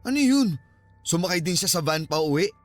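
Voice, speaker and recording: A man's voice: high voice, animated, fairly quick, no echo. A Filipino man playing a young horror vlogger, a dismissive but caring boyfriend, in a radio drama. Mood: teasing, reassuring.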